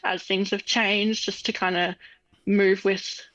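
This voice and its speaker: A woman's voice: medium pitch, level tone, slow pace, fairly bright tone, no echo. A young woman, a guest on a podcast, chatting casually and laughing often as she tells stories. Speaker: guest artist. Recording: dull, dry sound.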